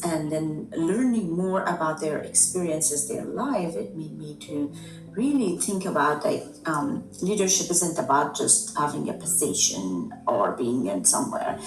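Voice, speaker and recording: A middle-aged woman from Afghanistan, a native Dari speaker, answering interview questions in a thoughtful way with many pauses and fillers. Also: sits low. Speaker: guest. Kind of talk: conversational interview. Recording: echoey room, distant mic, music behind.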